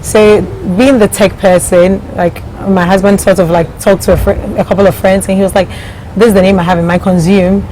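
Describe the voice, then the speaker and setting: A woman with a mellow, relaxed voice, speaking as a guest in a casual interview. She tells things as anecdotes in an easy conversational style, with faint noise behind her. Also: mid-range voice, a little fast, low-quality recording. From Ghana and Germany. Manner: conversational, anecdotal, quoting others in her telling. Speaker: startup CEO guest.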